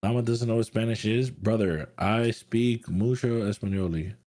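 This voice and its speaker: A man's voice: on the low side, moderate pace, dark tone. A man hosting a live trading stream, brash and crude, hyping the chat between trades. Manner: crude, brash hype talk. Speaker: host.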